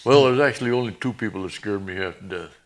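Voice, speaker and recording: A man in his eighties, a veteran guest reminiscing in plain-spoken, halting, folksy talk. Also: on the low side. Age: 80s. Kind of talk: reminiscing in a conversation.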